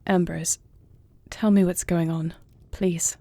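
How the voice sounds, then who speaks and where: Woman playing a soft, mellow, creaky-voiced earnest young princess. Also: lowish voice, little pitch movement, takes it slowly, no room sound. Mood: anxious, pleading. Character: earnest young princess and warrior heir, anxious yet tender. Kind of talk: intimate audio roleplay acting.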